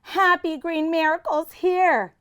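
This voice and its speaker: A woman's voice: extremely high pitch, takes it slowly, a bit bright, voice pushed up, full character voice. A woman voicing an eager, boastful little boy in a storybook, chanting and exclaiming. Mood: panicked.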